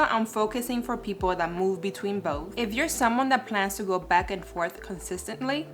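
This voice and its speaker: A woman who grew up in the Dominican Republic, giving a calm, scripted explainer on hair care. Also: medium pitch.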